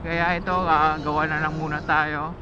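A man with a Filipino accent chats casually to his viewers, halting often with pauses and fillers, recorded through a motorcycle intercom mic. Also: a little high, little pitch movement, lo-fi sound. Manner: halting, hesitant delivery with frequent pauses and fillers. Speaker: motovlogger. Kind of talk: casual vlog talk to viewers. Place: outdoors on a motorcycle. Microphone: DIY cable from motorcycle intercom to action camera. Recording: band-limited intercom mic, loud music behind. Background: music, vehicle noise.

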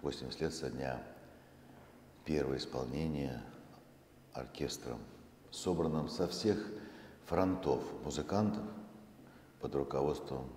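A middle-aged man giving a public lecture as a presenter on stage, speaking in a measured, deliberate way with pauses mid-phrase. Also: extremely low pitch, a little mellow. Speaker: museum director presenting a project. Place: large concert hall stage, indoors. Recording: echoey hall, faint background noise.

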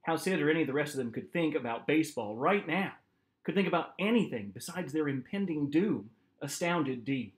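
A man in his forties reading a novel aloud as its author, with expressive, dramatic narration. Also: on the high side, a little fast. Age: 40s. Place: small home office, indoors.

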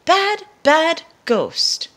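A woman's voice: very high-pitched, very animated, extremely slow, sharp tone, pitched above the performer's own voice, heavily acted. Woman acting an exasperated, emphatic young storybook boy. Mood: scolding. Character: young boy, puzzled and exasperated by his pet.

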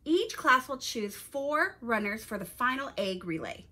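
A woman's voice: on the high side, very animated, a little slow, pushed and bright, heavily acted. A woman voicing an authoritative school principal making formal announcements in a children's storybook. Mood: announcing.

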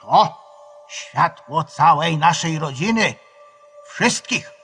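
A man's voice: a little high, lively intonation, takes it slowly, a bit bright, soft background music. An actor playing an affectionate old grandfather spirit in a children's radio play, speaking tenderly and patiently. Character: affectionate old grandfather, a guardian spirit who has died.

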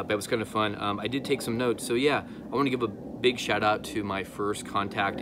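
Low-voiced man, noisy outdoor recording. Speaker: amateur radio vlogger. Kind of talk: casual talk to camera. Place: outdoor backyard patio.

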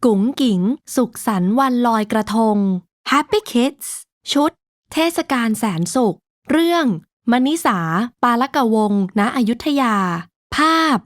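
A woman narrating a rhyming children's storybook in a slow, measured, sing-song way. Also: fairly high pitch, animated, no room sound, clean studio sound.

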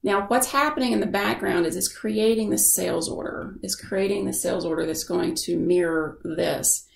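A woman giving a casual software tutorial as a screen-share voice-over, explaining informally and thinking aloud. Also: medium pitch, normal speed, creaky, reverberant. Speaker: software instructor.

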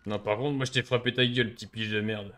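A man's voice: on the low side, fairly even intonation, fast, fairly bright tone. A man in his thirties chatting casually while streaming a role-play game, with a mocking, sarcastic and crude manner. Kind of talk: casual gaming banter.

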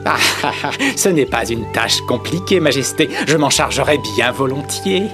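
Actor as a lively, obliging cartoon courtier. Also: male voice, mid-range voice, unhurried, music in the background, lightly acted. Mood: eager, sly. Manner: unctuous, deferential, animated. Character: obliging, sly male royal courtier, eager matchmaker. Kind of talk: animated film dub.

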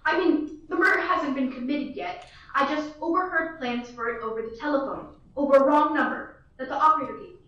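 Girl playing a fussy, high-voiced rich lady on the phone. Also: brisk, fairly bright tone. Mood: agitated. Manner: indignant, fussy and insistent. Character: fretful, demanding wealthy woman on the phone. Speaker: student actor. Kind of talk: school radio play acting. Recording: dull, roomy, from far off.

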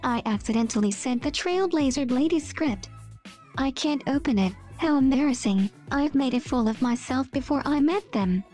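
A woman doing an expressive, theatrical scripted voice-over as she reads memes and comics. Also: high voice, moderate pace. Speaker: meme video narrator. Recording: music behind the voice.

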